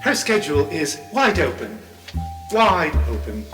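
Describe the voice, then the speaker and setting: A man's voice: fairly high pitch, big pitch swings, unhurried, a bit bright, far from the mic, soft background music. Man playing courteous fussy butler, echoey room. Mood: formal, welcoming. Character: courteous fussy butler-like Earl greeting visitors.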